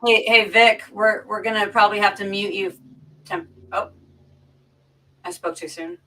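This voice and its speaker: A woman talking casually on a livestream, explaining things informally and haltingly, often repeating her phrases. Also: medium pitch, fairly even intonation, pushed and bright, slight background noise. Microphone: boom mic, sitting back from it. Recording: distant from the microphone.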